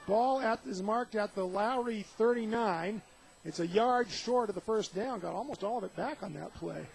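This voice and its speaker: High-pitched American male play-by-play announcer. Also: moderate pace, vocal fry. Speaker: play-by-play commentator. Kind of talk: live radio football play-by-play. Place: outdoor football game. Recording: noisy outdoor stadium radio broadcast.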